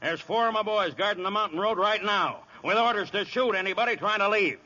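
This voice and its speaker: An American actor playing a crooked, bullying police chief in old radio comedy style, gruffly barking orders in gangster slang. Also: male voice, high voice, brisk, sharp tone. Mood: smug, threatening. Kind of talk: 1930s-40s radio comedy acting. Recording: dull vintage radio broadcast.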